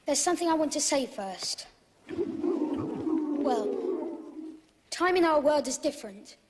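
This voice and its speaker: Earnest high-voiced young boy. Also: expressive, slow, very noisy. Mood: resolute. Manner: earnest, declarative. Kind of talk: audio drama acting. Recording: long hall-like reverb.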